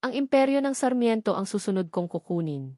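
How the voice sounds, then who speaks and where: A woman's voice: medium pitch, sing-song, fairly quick, dark tone, dry recording, studio quality, lightly acted. A woman voicing an arrogant, scornful male business rival in a story, pompous and taunting. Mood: gloating scorn.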